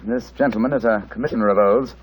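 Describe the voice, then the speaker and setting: A man playing a composed, shrewd gentleman detective, formal and precise, in old radio drama style. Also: fairly low pitch, fast talker, breathy edge, rough recording. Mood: stern, accusing. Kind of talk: 1940s radio drama acting.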